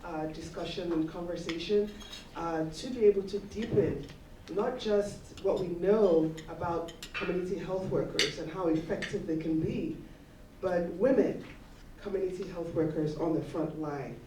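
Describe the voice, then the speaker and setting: A woman's voice: fairly low pitch, normal speed. A woman co-facilitator giving welcoming opening remarks to a group. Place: indoor breakfast roundtable session. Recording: echoey room, distant mic, faint noise.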